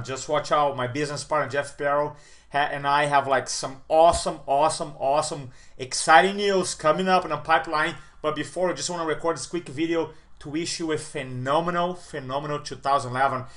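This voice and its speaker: A man in his forties giving an upbeat motivational talk straight to camera as a speaker and coach. He piles up repeated words for emphasis and swings from loud bursts to quiet lines. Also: a little high. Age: 40s.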